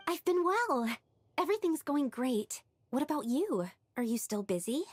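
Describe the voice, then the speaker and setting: A woman voicing an eager, inquisitive young female tinkerer in a video game, soft and breathy, full of questions. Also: sits high, very animated, a little hiss or hum. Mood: curious. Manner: rapid-fire questioning.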